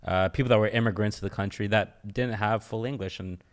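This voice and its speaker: A man hosting a casual podcast, talking in stammering restarts and answering with a steady "right". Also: lowish voice, fairly quick. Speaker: podcast host.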